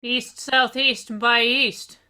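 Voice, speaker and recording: A woman plays a superstitious pirate deckhand in a radio play. The voice is very sharp and bright, fairly high, full of fearful salty dialect. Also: fairly even intonation, slow, smooth, full character voice. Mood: grim, uneasy. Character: superstitious, fearful old-time pirate sailor.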